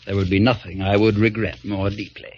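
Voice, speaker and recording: An actor playing a pompous, scheming veteran small-town politician in an old-time radio drama, speaking in oily, formal, grandiloquent phrases. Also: male voice, on the low side, flat delivery, brisk, natural voice. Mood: veiled menace. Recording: dull lo-fi old radio recording.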